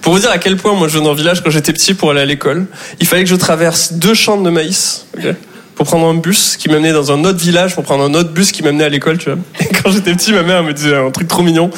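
A man's voice: fairly high pitch, quick pace, fairly bright tone. A man from southwest France doing a stand-up set, chatty and laughing as he acts out other people's voices. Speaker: stand-up comedian.

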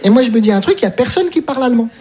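A woman's voice: fairly high pitch, lively intonation, racing, a little mellow. A woman performing a stand-up routine, telling a story and acting out the dialogue with energy. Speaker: stand-up comedian. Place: comedy stage. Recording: noisy live recording.

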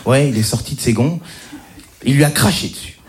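A man's voice: medium pitch, a little fast, heavy background noise. A man from the French suburbs doing stand-up, telling an exaggerated school story with hesitations and mock voices. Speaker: stand-up comedian. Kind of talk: stand-up routine.

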